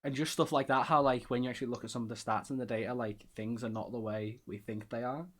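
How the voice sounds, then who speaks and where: A man's voice: mid-range voice, steady tone, fast talker. A man with a Scouse accent hosting a podcast in casual chat, rambling and self-deprecating with lots of fillers. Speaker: podcast host.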